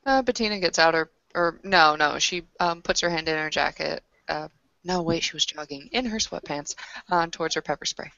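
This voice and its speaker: Woman tabletop gamer, casual halting improvisation, lowish register, slightly dull recording. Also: gravelly, pushed and bright, dry recording. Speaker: roleplaying game player.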